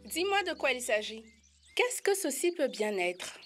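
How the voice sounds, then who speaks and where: A woman's voice: sits high, sing-song, unhurried, faint music behind, heavily acted. A woman voicing a small, naive and greedy young elephant who is inquisitive and childlike, in an educational cartoon. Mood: puzzled, curious. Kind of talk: animated educational cartoon dialogue.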